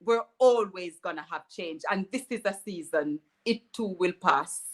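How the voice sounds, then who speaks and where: A woman from Jamaica, a doctor appearing as a guest, speaking in a measured, reflective way with light laughs. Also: fairly low pitch, expressive, a bit bright. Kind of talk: casual interview talk.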